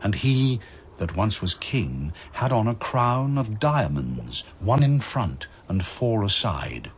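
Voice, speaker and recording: A man narrating dramatic verse as a solemn, measured storyteller. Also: fairly low pitch, big pitch swings, husky.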